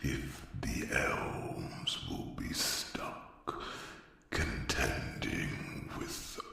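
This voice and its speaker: A man voicing an ancient dragon king in a fantasy audio roleplay, regal and solemn, with a gravelly growl and long rumbling pauses. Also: sharp tone. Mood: grave, weary. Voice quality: very deep, gravelly, breathy growl. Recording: cavernous long reverb, distant from mic.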